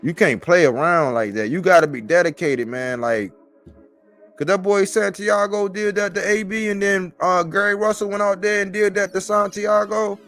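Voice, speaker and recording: Man, forties, slightly high voice, colloquial sports-stream commentator, music underneath. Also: normal speed, fairly bright tone. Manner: conversational, colloquial, repeats phrases for emphasis. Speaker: boxing commentator on a live stream. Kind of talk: casual sports chat.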